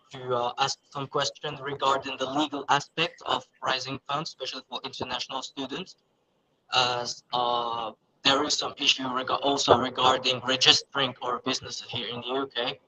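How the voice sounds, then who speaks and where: A man in the audience explaining his startup and asking a question, in a measured way with some fillers. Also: mid-range voice, pushed and bright, poor audio. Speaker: audience member, startup founder.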